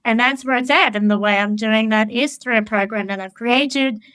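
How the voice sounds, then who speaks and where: A woman's voice: on the high side, moderate pace, clean tone, no room sound, clean studio sound. A woman life coach talking in a casual interview, candid and blunt, swearing freely, and telling her stories with enthusiasm. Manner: enthusiastic, animated storytelling. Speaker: life coach, podcast guest.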